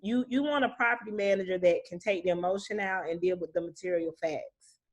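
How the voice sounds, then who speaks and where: A woman's voice: lowish voice, a little fast. A woman speaking as a guest property manager, explaining conversationally with anecdotes in a plain-spoken, folksy way.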